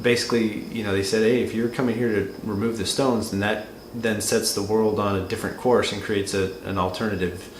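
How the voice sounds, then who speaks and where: A man's voice: fairly low pitch, flat delivery, a little fast, few pauses. A man co-hosting a movie review, talking casually and unscripted, often rephrasing as he goes. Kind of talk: casual unscripted film discussion. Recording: roomy echo, light background noise.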